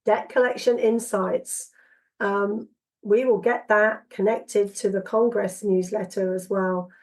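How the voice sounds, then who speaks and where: A woman hosts a relaxed interview, her voice slightly hoarse from a cold. She hesitates often and restarts her sentences. Her pitch sits in the middle. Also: low-quality recording. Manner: halting, many ums and restarts. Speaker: podcast host. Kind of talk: casual interview chat.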